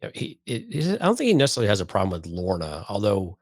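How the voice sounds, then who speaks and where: Male podcast co-host, deep and husky, dry studio-like sound, casual conversational commentary. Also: wildly swooping pitch, rapid-fire, no echo. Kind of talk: casual discussion commenting on an audio drama.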